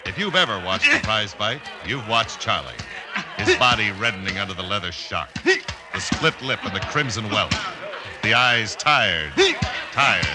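A man's voice: lowish voice, big pitch swings, unhurried. An American man narrating a vintage radio drama in a theatrical, declamatory announcer's style. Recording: old radio broadcast, loud music.

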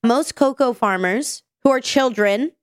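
A woman's voice: fairly high pitch, unhurried, smooth, dry recording, clean studio sound. A woman co-hosting a casual podcast, chatty and sick with a nasal voice. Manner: chatty, tells stories with acted quotes. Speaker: podcast co-host.

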